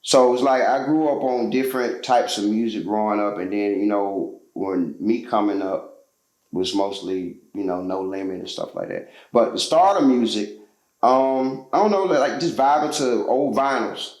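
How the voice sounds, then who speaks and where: A man's voice: lowish voice, normal speed, a little mellow, reverberant, rough recording. A man from Georgia, a musician telling his story as a guest in a casual interview, loose and slangy with lots of fillers.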